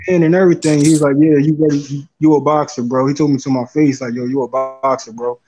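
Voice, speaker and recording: A man's voice: little pitch movement, quick pace, mellow, faint music behind. A man from Philadelphia, a guest on a live talk stream, rambling casually and often saying "you know what I'm saying". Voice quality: says he has a deep voice. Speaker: guest caller. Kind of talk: casual chat. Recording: dull lo-fi stream audio.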